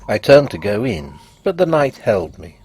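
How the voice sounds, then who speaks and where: A man narrating a gothic literary tale in an expressive, measured and dramatic audiobook style. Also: lowish voice, slightly rough.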